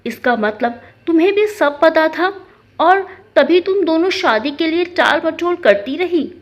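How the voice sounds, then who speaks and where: A woman's voice: very high-pitched, animated, a little slow. A woman voicing a stern, worried father who questions and admonishes in a storybook family drama. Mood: angry. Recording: dull, lo-fi sound.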